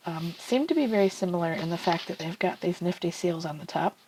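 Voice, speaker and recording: A woman's voice: lowish voice, lively intonation, brisk, darkish tone, a little hiss or hum, poor audio. A woman from New Zealand with a New Zealand accent, chatting casually and enthusiastically to camera as a tarot channel host, with lots of ums and asides.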